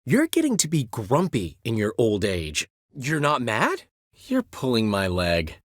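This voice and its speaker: A man's voice: mid-range voice, huge pitch swings, unhurried, no echo, clean studio sound. An actor playing a flamboyant, vain and witty middle-aged male bard in a video game, teasing and theatrical with a showman's flourish. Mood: wry. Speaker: game character. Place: recording studio. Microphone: close studio mic.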